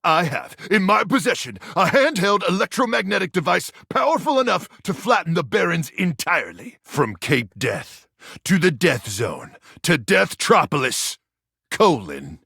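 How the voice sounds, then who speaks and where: A dramatic war-weary sci-fi soldier, wildly swooping delivery. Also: male voice, high voice, measured pace, creaky, husky, dry recording, studio quality, exaggerated voice. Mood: grave briefing. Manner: melodramatic, deadpan absurd asides, sudden outbursts. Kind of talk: video game character acting.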